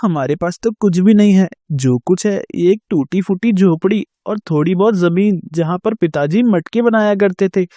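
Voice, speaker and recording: A poor, anxious village son from a cartoon fable, played by a man. His voice is mellow and the sound is dry. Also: high voice, normal speed, hardly any pauses, dry recording, lightly acted. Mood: worried. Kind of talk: Hindi cartoon moral story acting.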